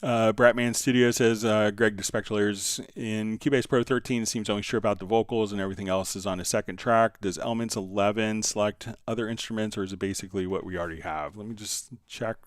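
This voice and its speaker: A man hosting a live software tutorial, answering viewer questions casually and thinking aloud in a halting way as he demonstrates. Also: fairly low pitch, steady tone, dry recording, studio quality.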